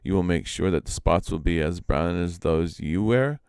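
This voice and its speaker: A man voicing an eager, pleading, excitable trickster spirit in a storybook tale. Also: sits low, normal speed, creaky, clean tone, no room sound, studio quality. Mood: gleeful, eager.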